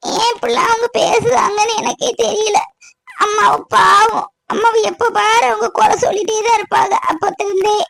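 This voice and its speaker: A woman playing a hurt, soft-hearted young newlywed in a family drama, plaintive and tearful, with a southern Tamil Nadu accent. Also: extremely high pitch, creaky, full character voice, rough recording.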